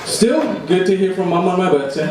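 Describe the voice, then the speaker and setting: A young man performing live stand-up comedy with a deadpan delivery, recorded in a room. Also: high voice, brisk, over a noisy crowd. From immigrated to Australia at age eleven. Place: indoor comedy room before a live audience. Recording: echoey, noisy room recorded from far off.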